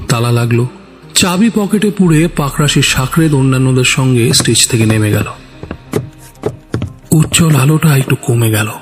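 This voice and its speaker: A man narrating a scripted story in a theatrical, suspenseful way. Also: medium pitch. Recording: background music.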